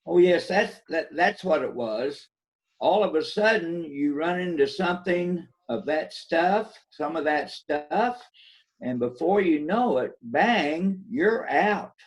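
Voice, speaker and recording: Man to play cautious, homespun small-town lawman, slow delivery, amateur mystery reading. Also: on the high side, animated. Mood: folksy. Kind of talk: amateur readers theater mystery.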